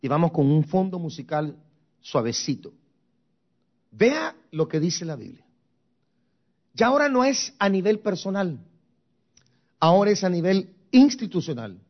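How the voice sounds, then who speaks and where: A man's voice: high-pitched, very animated, a little slow, pauses often, highs cut off. A man from Guatemala preaching a sermon as pastor, with emphatic, rising and falling intensity. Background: soft music.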